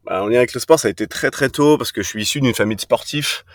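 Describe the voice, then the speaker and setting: A man's voice: medium pitch, quick pace, no echo, studio quality. A man in his thirties from Brittany, a fitness coach answering interview questions casually, often hesitating with fillers and restarts. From Brittany, France. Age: 30s. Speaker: podcast guest, fitness coach.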